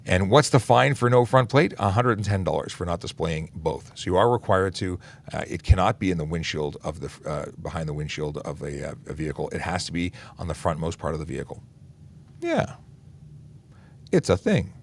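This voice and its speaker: Deep-voiced, gravelly police officer. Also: male voice, brisk, a little hiss or hum. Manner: relaxed, many "uh" fillers and restarts. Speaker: police officer hosting a traffic Q&A livestream. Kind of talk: casual livestream Q&A, reading and answering viewer questions.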